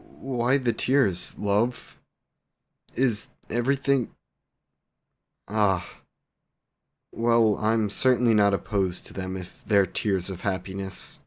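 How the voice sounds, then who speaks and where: A man playing a devoted, wry vampire boyfriend in a scripted romantic audio roleplay, speaking in ornate, formal phrases. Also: on the low side. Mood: tender.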